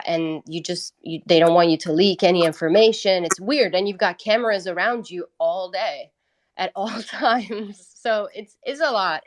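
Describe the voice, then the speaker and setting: Low-pitched Italian woman, candid and informal. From Italy. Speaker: guest, independent musician. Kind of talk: casual interview storytelling.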